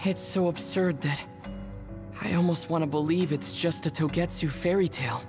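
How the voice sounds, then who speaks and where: A woman's voice: lowish voice, moderate pace, music in the background, close to an everyday voice. A woman playing a cool, skeptical young soldier in a video game, composed and matter-of-fact. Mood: cool, analytical. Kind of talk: scripted video game voice acting.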